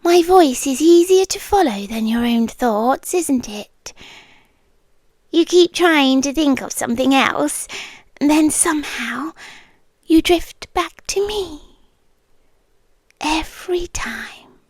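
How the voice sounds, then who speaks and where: A British woman playing a soothing, possessive hypnotist girlfriend in an ASMR roleplay, speaking softly and breathily, often in a whisper, close to the microphone. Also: high voice, lively intonation, no echo. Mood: coaxing, possessive. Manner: slow, hypnotic, coaxing.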